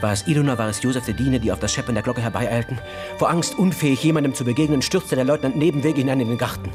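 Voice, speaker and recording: A man narrating a literary radio drama with tense, deliberate phrasing. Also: mid-range voice, music in the background.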